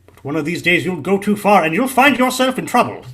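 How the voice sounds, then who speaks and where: A man's voice: high-pitched, sing-song, fairly quick, fairly bright tone. A man acting a blunt, grudging Victorian police inspector in an audio drama, speaking in a cautionary way. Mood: grudging, warning.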